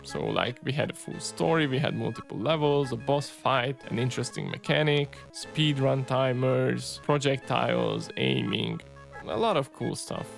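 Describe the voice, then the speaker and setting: A man in his thirties, a native Hungarian speaker, chatting casually in a relaxed way as he narrates his own game projects over gameplay. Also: mid-range voice, moderate pace, gravelly, faint music behind. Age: 30s. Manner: relaxed and chatty, peppers speech with "right?" and "you know". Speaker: game developer and channel host.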